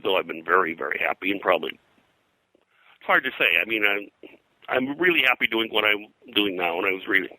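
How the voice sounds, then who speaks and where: Deep, bright-voiced older American man reminiscing. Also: dry recording, rough recording. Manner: rambling, anecdotal, halting mid-sentence. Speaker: interview guest, comics colorist and radio historian. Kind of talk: casual reminiscing in an interview.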